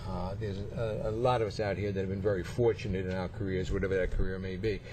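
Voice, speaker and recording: A man's voice: deep voice, little pitch movement, quick pace, a little mellow. A man hosting a casual interview, often repeating his phrases. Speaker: interview show host. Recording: slightly distant mic, faint background noise.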